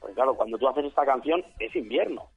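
A man, a DJ and singer chatting as a guest, slightly hoarse, rambling and acting out what others say. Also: medium pitch, animated, quick pace, narrow, tinny audio, soft background music. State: hoarse after a weekend of shows. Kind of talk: casual interview chat.

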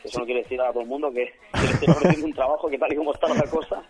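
A man, a DJ and singer chatting as a guest, slightly hoarse, rambling and acting out what others say. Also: medium pitch, fairly even intonation, rapid-fire, over loud music. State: hoarse after a weekend of shows. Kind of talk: casual interview chat.

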